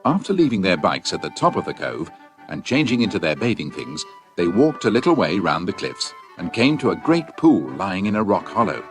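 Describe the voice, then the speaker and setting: A man's voice: sits low, sing-song, moderate pace, soft background music. A man reading scripted audiobook narration as a storyteller.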